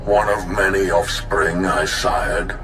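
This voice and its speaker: A very deep, slow-spoken ancient Sith spirit, grave and commanding, his voice ringing as if through a hollow chamber. He drags out each word over a swelling game score. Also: steady tone, echoey room, over music. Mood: ominous. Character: ancient male Sith Lord spirit, proud and weary. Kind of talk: video game cutscene acting.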